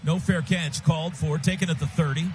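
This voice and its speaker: A man's voice: fairly high pitch, steady tone, a little fast. An American man calling live football on the radio as play-by-play announcer, in clipped, urgent phrases. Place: stadium radio booth. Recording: sports radio broadcast with stadium noise. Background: clear stadium noise.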